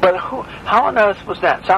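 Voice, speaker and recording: A man's voice: high voice, normal speed, fairly bright tone, slight background noise. A man on a phone call as a dental patient, speaking in a formal, old-fashioned and stern way with precise wording. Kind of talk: phone conversation.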